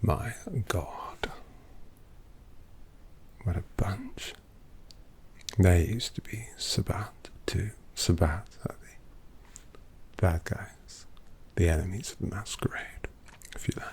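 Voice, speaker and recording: A man voicing a sardonic old male vampire painter who drawls intimately in a gravelly whisper, as an ASMR roleplay. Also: low-pitched, takes it slowly, long pauses, darkish tone, exaggerated voice. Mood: snide whisper.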